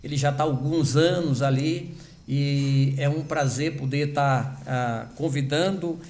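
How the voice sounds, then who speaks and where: A man's voice: a little high, darkish tone. A man serving as host pastor, reading scripture and praying in church with an earnest, emphatic delivery. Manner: earnest, emphatic, slowing on key words.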